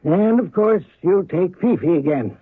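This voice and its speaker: A man's voice: high voice, expressive, measured pace, slightly rough, dry recording, full character voice. An actor in an old-time radio drama playing a brusque, demanding male company boss, curtly giving orders. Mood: bossy, brisk. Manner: curt, bossy. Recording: thin, phone-like old radio recording.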